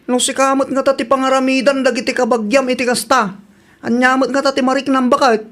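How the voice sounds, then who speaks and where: A woman's voice: high voice, level tone, fairly quick, fairly bright tone. A woman acting a hospitable, exasperated husband in an Ilocano-accented radio drama.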